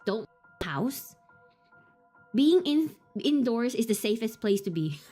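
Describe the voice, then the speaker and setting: A woman's voice: on the high side, sing-song, takes it slowly, mellow, soft background music. A woman streaming a horror visual novel, reading the on-screen text aloud between giggles and startled swearing. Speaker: streamer playing a horror game.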